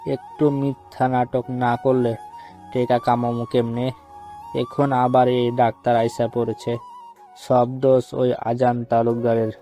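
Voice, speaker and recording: A man's voice: medium pitch, flat delivery, measured pace, warm tone, soft background music, natural voice. A man playing a crooked, grumbling village schemer in an audio story, speaking rural Bangladeshi Bengali dialect. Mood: scheming, resentful.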